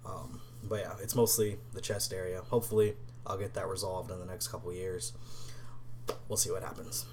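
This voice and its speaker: A man's voice: sits low, flat delivery, normal speed, darkish tone, a little hiss or hum. A young man in a casual vlog, answering tag questions in a hesitant way, with many ums and restarts, and sometimes dropping to a whisper. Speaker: vlogger.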